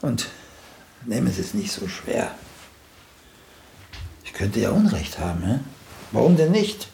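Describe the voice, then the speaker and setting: A man's voice: lowish voice, very animated, a little slow, vocal fry, dark tone, a little hiss or hum, heavily acted. An older male actor playing a blunt, vain, once celebrated playwright in a German radio play, opinionated and sardonic in manner. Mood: grudging, condescending. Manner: opinionated, sardonic, tags questions with "gell".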